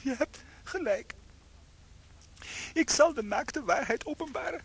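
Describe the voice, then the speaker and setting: A woman playing a tiny shrunken unfaithful husband in a radio play, theatrical, anxious and guilt-ridden, with a high husky voice. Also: animated, measured pace. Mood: confessing.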